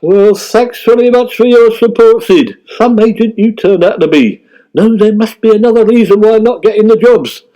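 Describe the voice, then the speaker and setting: A man's voice: sits high, moderate pace, dark tone, lightly acted, rough recording. A man playing a pompous, thin-skinned ageing British comic actor, indignant and aggrieved, in radio sketch comedy.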